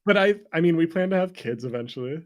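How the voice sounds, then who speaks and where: A man's voice: fairly high pitch, expressive, quick pace, lo-fi sound. A man chatting casually as an interview guest, joking and self-deprecating, often breaking into laughter. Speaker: interview guest, game creator. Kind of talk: casual candid chat.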